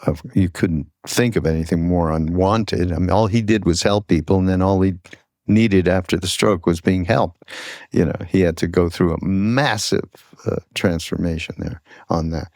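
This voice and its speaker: Slow, gravelly low-voiced older man. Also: darkish tone, no room sound, clean studio sound. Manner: halting, searching for words. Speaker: podcast host. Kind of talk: reflective conversational storytelling.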